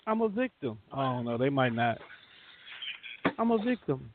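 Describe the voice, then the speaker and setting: A man's voice: medium pitch, very animated, moderate pace, background noise. A man hosting a radio show with casual, rambling, colloquial celebrity-news banter and some swearing. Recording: thin phone-like sound, roomy echo.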